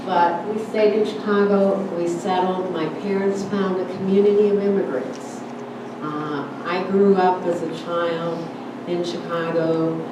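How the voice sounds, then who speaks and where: An older woman born in Germany, telling her family's immigration story at a church forum in a conversational way, with many "you know"s and "uh"s. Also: lowish voice. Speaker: guest speaker at church forum. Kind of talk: personal storytelling talk. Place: church hall. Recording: roomy hall, distant mic, background noise.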